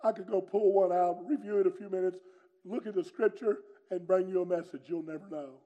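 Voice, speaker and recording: A middle-aged preacher with a booming, forceful way of talking. His voice sits high for a man but has a mellow, warm tone. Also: steady tone, brisk, muffled recording. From North Carolina, USA. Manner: booming, loud and strong delivery. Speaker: pastor. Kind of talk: sermon with personal testimony.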